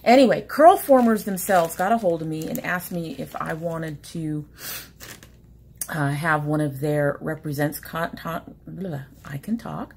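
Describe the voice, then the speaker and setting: A woman's voice: deep voice, breathy edge. A woman in her forties chatting casually through an unboxing, rambling and catching herself mid-sentence, a bit sniffly from allergies, recorded on a webcam in a small room at home. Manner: chatty, rambling, self-interrupting. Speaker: beauty vlogger. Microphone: webcam at desk.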